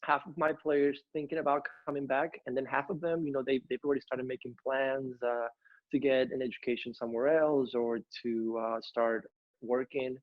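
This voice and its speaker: A man's voice: mid-range voice, little pitch movement, normal speed, no echo, muffled recording. A young man from Ecuador, a college tennis coach, answering interview questions from home, speaking earnestly with many fillers and restarts. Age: young adult. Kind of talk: interview over video call.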